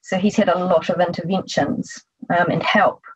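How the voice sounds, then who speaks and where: A woman's voice: on the low side, level tone, normal speed, breathy edge, dry recording, lo-fi sound. A middle-aged woman with a New Zealand accent, a therapist answering interview questions conversationally.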